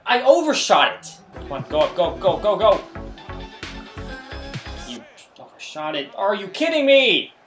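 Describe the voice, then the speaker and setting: A man's voice: high voice, sing-song, measured pace, pauses often, music in the background. A man giving casual gameplay commentary, talking to himself in exasperation and often repeating phrases. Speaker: gaming video creator.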